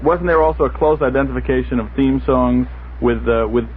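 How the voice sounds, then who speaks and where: Man, mid pitch, casual halting talk, radio panelist, noisy narrow 1960s tape.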